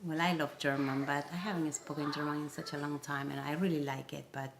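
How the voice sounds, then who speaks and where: A woman's voice: low voice, little pitch movement, brisk, gravelly. A woman from Peru, Spanish her first language, chatting as an interview guest, hesitant with many restarts. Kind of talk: casual interview chat. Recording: noisy background.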